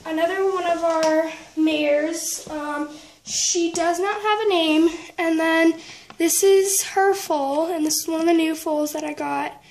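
A woman giving a casual show-and-tell narration in a halting way, often trailing off and correcting herself. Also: very high voice, fairly even intonation, darkish tone, a little hiss or hum, low-quality recording. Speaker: vlogger giving a tour of a toy horse barn. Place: indoors at home, room with wooden floor. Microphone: handheld camera, speaker behind it.

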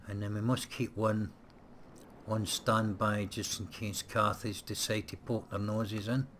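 A man's voice: lowish voice, little pitch movement, a little slow, fairly bright tone, a little hiss or hum. A man casually commentating while playing a strategy game, chatty and muttering to himself, on a close desk mic. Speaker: let's play gamer. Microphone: desk mic set close.

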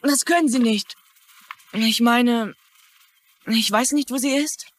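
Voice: mid-range voice, takes it slowly, natural voice. An actor playing an anxious, polite young schoolboy, hesitant and earnest, in a dubbed TV drama. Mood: anxious, pleading. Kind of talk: German-dubbed TV drama acting.

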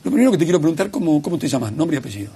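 The man who fronts an evening studio talk show in Buenos Aires, local accent, raspy and fairly high, leaning hard on repeated words. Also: sing-song, rapid-fire, dark tone. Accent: Buenos Aires Spanish. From Argentina. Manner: emphatic, repeats words for effect. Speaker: television talk show host. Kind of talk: studio interview. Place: television studio.

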